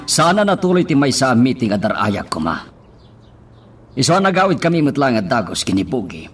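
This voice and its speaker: A man's voice: medium pitch, sing-song, moderate pace, recorded from a distance, soft background music, close to an everyday voice. A man playing a scheming, commanding boss in a radio drama, with an Ilocano accent.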